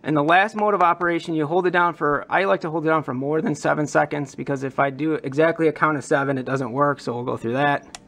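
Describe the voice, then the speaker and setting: A man's voice: on the high side, steady tone, fast talker, a bit bright. A man presenting a prop modding demonstration in an informal, enthusiastic, casual explanatory way. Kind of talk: casual explanatory hobby vlog.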